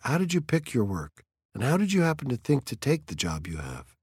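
A man's voice: mid-range voice, wildly swooping pitch, a little fast, darkish tone, husky, no room sound, clean studio sound, pitched above the performer's own voice, full character voice. A man voicing a peculiar, dreamy teenage girl of nearly seventeen, whimsical and earnest in her chatter.

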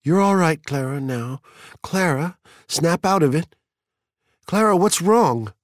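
A man's voice: fairly high pitch, animated, slow, no echo, studio quality, pitched above the performer's own voice. A man voicing an anxious wife who pleads and coaxes with brittle, forced cheerfulness in an audiobook story. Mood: urgent coaxing. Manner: pleading, coaxing.

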